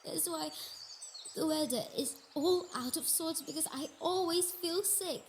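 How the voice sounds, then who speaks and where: A woman's voice: very high voice, a little slow, a little hiss or hum. A woman voicing a sickly, motherly Earth goddess in an intimate roleplay monologue, hesitant and emotional, dipping toward whispers. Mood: anguished, sickly. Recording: nature ambience with birdsong behind voice.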